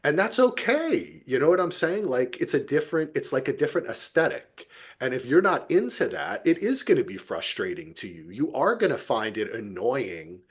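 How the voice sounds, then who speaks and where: Middle-aged male podcast host: husky tone, conversational and enthusiastic, loose unscripted recap chat. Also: medium pitch, big pitch swings, moderate pace.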